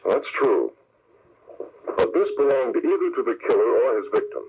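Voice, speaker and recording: A shrewd, confident crime-fighting hero of an old radio serial. His medium-pitched voice moves in lively swings as he explains with authority. The recording is muffled, with clear hiss and noise. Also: moderate pace. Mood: deliberating. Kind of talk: old-time radio drama acting.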